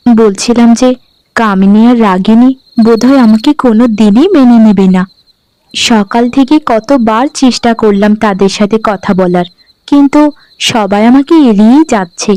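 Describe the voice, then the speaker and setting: A woman voicing a gentle, dutiful young new bride in a cartoon, speaking meekly and earnestly. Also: a little high, moderate pace, no room sound, close to an everyday voice, low-quality recording. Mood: worried. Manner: meek, earnest, conciliatory. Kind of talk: Bengali cartoon dialogue acting.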